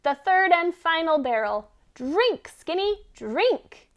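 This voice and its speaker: A woman voicing a pompous, excitable male goat inventor in a children's storybook, declaiming orders and pronouncements. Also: very high voice, takes it slowly, pitched above the performer's own voice, exaggerated voice. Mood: commanding. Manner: declamatory, commanding exclamations. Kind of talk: children's storybook read-aloud.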